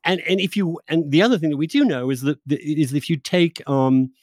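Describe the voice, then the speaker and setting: A man's voice: fairly high pitch, fairly quick, darkish tone, no room sound, clean studio sound. A man hosting a podcast, talking in a discursive, informal, conversational way with the odd mild swear. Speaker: podcast host. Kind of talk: conversational intellectual interview.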